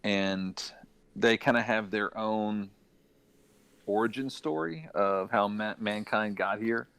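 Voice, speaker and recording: A man with a fairly low voice talks casually as a podcast panelist. He explains things conversationally, and the recording is dry, with very little room sound. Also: slow, fairly bright tone, clear, resonant tone, no echo. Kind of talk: casual discussion of video games and adaptations.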